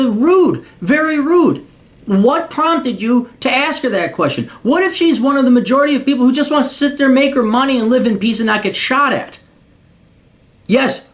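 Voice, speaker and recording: A man with a high-pitched voice rants his advice straight at the listener. He is blunt and crude, swears freely, and mocks the person he addresses while lecturing them on how the world works. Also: fairly bright tone. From United States. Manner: profane, mocking, lecturing. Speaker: podcast host giving paid advice.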